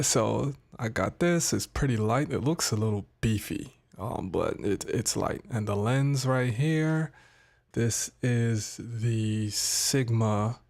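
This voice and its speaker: A man hosting a casual live stream about his streaming gear, sounding a little nasal from a cold, chatty and relaxed, pausing now and then to handle equipment. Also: fairly low pitch, creaky. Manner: laid-back, chatty, pauses to fiddle with gear. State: has a cold, a bit nasal. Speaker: tech streamer. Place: home streaming setup, indoors. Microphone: studio mic on desk.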